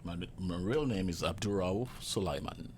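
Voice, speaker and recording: A man in his fifties with a Nigerian accent, a guest chef telling relaxed stories full of fillers. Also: low-pitched, normal speed, slight background noise. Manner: relaxed, chuckling anecdotes with many fillers. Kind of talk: casual interview storytelling.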